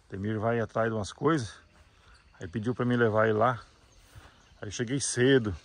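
A man's voice: on the low side, fairly even intonation, normal speed. A man chatting casually to viewers as a vlog host, in a folksy way full of little check-in tags. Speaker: vlog host filming a visit. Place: visit to a rural house and its yard.

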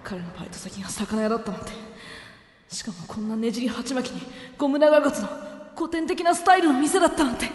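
An actress playing a cool, princely tomboy who is easily flustered, with dramatic boyish phrasing in an anime audio drama. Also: female voice, high voice, fast, noisy background, natural voice. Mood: brooding, resigned.

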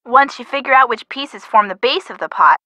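A woman's voice: fairly high pitch, animated, a little fast, bright, forceful tone, dry recording. A young woman playing a helpful, perky friend in a detective video game, chatty and teasing as she gives scripted hints. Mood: helpful, nudging. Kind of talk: scripted game hint dialogue.

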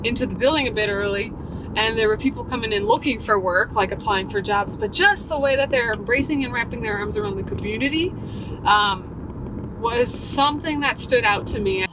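A woman's voice: fairly high pitch, brisk, background noise. An American woman co-hosting a casual travel vlog, talking in an enthusiastic, explanatory way. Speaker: vlog co-host.

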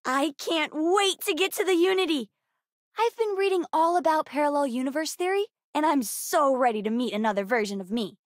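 A woman voicing an eager, precocious young girl in a video game, earnest and expressive. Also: high-pitched, fairly quick, no echo, studio quality. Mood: cheerful, confident. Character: bright, eager, bookish young girl aboard a spaceship. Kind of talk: video game character voice lines.

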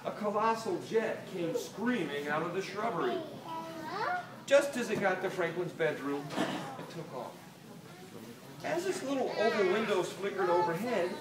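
A middle-aged American man reads a children's storybook aloud with dramatic pauses, as if to young listeners. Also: sits high. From Delaware, United States. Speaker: children's author reading his book aloud. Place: inside a small toy shop. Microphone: camera a few metres away. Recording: roomy, distant, noisy phone-camera recording.

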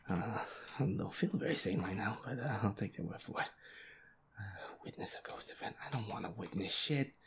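A feisty werewolf boyfriend, acted by a man in an audio roleplay, grumbling and swearing his way nervously through a scary game. His voice is very low and gravelly, often dropping into a whisper, and it swings expressively as he complains. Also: animated, fairly quick. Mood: rattled, resigned. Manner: sweary, jittery, muttering complaints under the breath.

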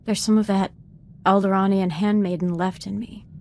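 A woman's voice: medium pitch, little pitch movement, normal speed, darkish tone, a little hiss or hum, natural voice. A woman playing a humble, self-doubting young Jedi apprentice in a video game, speaking formally and earnestly.

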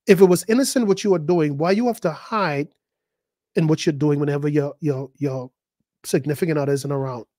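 A man, a pastor preaching a sermon, emphatic and repeating words, his pitch jumping high at key points. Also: brisk, mellow, no echo, clean studio sound. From the Bahamas. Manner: repeats words and acts out quoted voices.

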